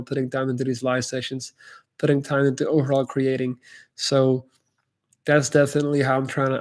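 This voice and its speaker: A man from Belgium, speaking English, answers viewer questions on a live stream. His talk is loose and candid, with frequent "like"s and false starts. His pitch sits in the middle range for a man. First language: Dutch. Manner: candid and loose, full of "like" and restarts. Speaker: YouTuber hosting a live Q&A. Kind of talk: casual livestream Q&A chat.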